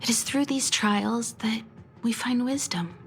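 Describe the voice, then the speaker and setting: A woman's voice: a little high, unhurried, faint music behind. A woman reading video game dialogue aloud.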